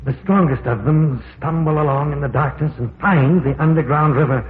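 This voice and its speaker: Male actor as learned cave-exploring professor, grave, deliberate, theatrical, old radio horror, echoey, tinny recording. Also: fairly high pitch, natural voice. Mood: grave, speculative. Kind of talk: vintage radio horror drama acting.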